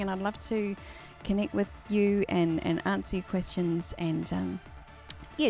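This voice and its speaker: A woman's voice: medium pitch, gravelly, a little mellow. A woman from New Zealand with a New Zealand accent tinged Australian, chatting casually on a live stream, laughing often and using many fillers. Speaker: singer hosting a live stream.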